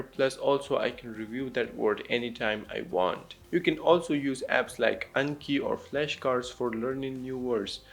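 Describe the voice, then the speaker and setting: Male online language teacher, Pakistani-American blended accent, medium pitch with vocal fry, plain encouraging explanations. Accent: Pakistani with some American influence. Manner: encouraging, simple step-by-step explaining. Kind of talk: instructional talk to viewers.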